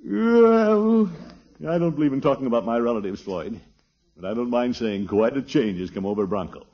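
An actor playing a pompous, easily flustered middle-aged uncle in a 1950s radio comedy, with a blustery, theatrical delivery. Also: male voice, mid-range voice, animated, normal speed, natural voice. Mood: indignant. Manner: blustery, theatrical, chuckling, exclamatory. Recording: dull, muffled old radio recording.

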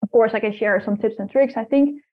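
A woman's voice: fairly high pitch, fairly quick. A woman whose first language is Dutch, a guest answering interview questions thoughtfully, with frequent restarts. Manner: thoughtful, many restarts and repeated words. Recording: tinny phone-like line.

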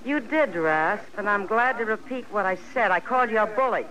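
A woman's voice: mid-range voice, animated, fairly quick, a crowd in the background, lightly acted, poor audio. An actress playing an outspoken, caring frontier woman in a 1950s radio western drama. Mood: defiant.

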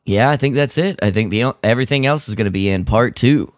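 A man co-hosting a podcast in casual, animated chat, given to comically exaggerated opinions. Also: mid-range voice, quick pace, dry recording, clean studio sound. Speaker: podcast co-host. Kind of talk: casual chat about a radio drama.

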